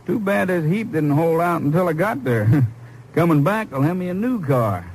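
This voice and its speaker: An actor playing a chatty, easygoing Texas motorist with a folksy drawl, in 1950s radio drama style. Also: male voice, on the high side, expressive, normal speed, darkish tone, husky. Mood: amiable, amused. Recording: noisy old 1950s radio recording.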